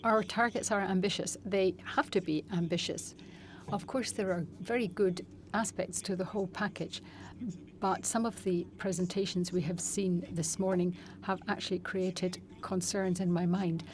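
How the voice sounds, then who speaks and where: A woman giving a simultaneous interpretation of a speech, with a steady, measured delivery and small self-corrections. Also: lowish voice, a little hiss or hum. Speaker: conference interpreter.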